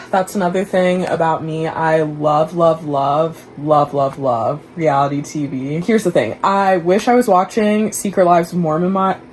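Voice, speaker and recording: Low-voiced chatty young woman vlogging. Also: darkish tone, a little hiss or hum. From Dallas, Texas. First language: Igbo. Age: young adult. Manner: chatty, candid, rambling. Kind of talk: casual vlog chat to camera.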